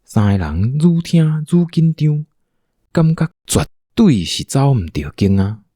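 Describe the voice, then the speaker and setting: A man's voice: mid-range voice, big pitch swings, measured pace, mellow, no room sound, studio quality. A man with a Taiwanese accent narrating a scripted audio drama as a storyteller.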